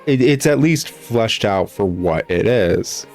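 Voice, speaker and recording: Guy w a lowish voice rambling sarcastic critiques over a video game, kinda fed up, music in the back. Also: animated, slow. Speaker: gaming video host, critic.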